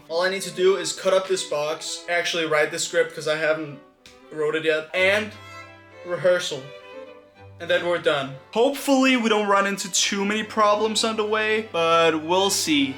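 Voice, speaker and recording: Guy streamer doing casual vlog talk, bit high voice, self-deprecating, faint music under. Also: fairly bright tone.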